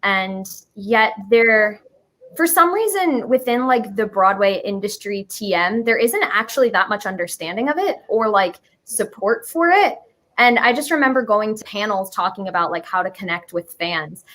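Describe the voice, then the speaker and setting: Young woman being interviewed, chatty and casual, average pitch, says like and sort of a lot. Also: animated, normal speed, fairly bright tone. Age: 20s. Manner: chatty, informal, hedging with "like" and "sort of". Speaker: musical writer and guest being interviewed.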